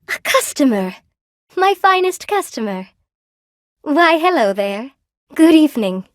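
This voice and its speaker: An actress voicing a friendly, lonely weaver spider woman in a video game, with a gentle, quaint, expressive and chatty manner. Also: fairly high pitch, dry recording, studio quality. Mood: delighted, welcoming. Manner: gentle, quaint, folksy, expressive, self-effacing. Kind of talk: video game character acting.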